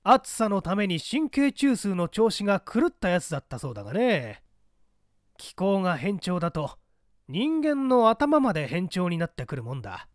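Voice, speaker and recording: A hearty, pompous older official, acted by a male narrator, booms with amused scorn in an audiobook. The delivery swings widely in pitch and sits high for a man, in a dry, close recording. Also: big pitch swings, normal speed, no echo. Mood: amused, dismissive. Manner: grand, patronizing, booming. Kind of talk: audiobook character acting.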